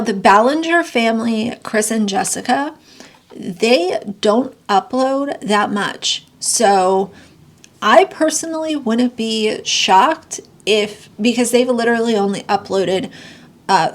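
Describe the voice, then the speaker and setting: A woman with a congested, nasal voice, giving blunt, sarcastic commentary and reading news excerpts aloud. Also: a little high. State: congested, getting sick. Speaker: commentary youtuber. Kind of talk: opinionated commentary.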